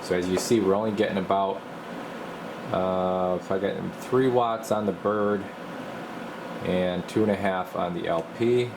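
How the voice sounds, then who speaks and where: Deep voiced guy doing an informal repair walkthrough, kinda rambly, noisy workshop hum behind him. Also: fairly even intonation, a little slow. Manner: informal, rambling, hands-on explaining. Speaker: radio repair technician and video host. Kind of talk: casual how-to demonstration.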